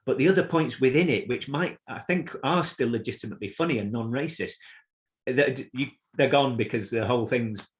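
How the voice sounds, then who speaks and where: A man in his fifties from south Manchester who speaks in a measured, reflective way as a podcast co-host, with hesitant ums. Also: mid-range voice. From south Manchester, England. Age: 50s. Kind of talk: casual chat.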